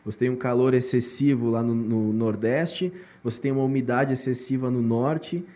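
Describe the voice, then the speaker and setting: A man's voice: medium pitch, fairly even intonation, normal speed, mellow. A male podcast host who asks long, rambling questions full of restarts. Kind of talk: podcast interview.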